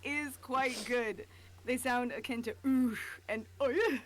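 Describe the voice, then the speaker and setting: High-voiced woman bantering casually on stream. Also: unhurried. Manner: giggly, joking, profane. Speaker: stream co-host.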